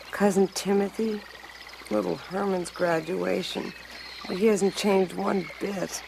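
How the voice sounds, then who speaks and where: A woman's voice: mid-range voice, vocal fry. A woman playing a tiny young fairy-tale heroine, polite and earnest, speaking in theatrical exclamations on a noisy soundtrack. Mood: distressed, exasperated. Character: tiny pretty young girl, polite, plucky, distressed heroine.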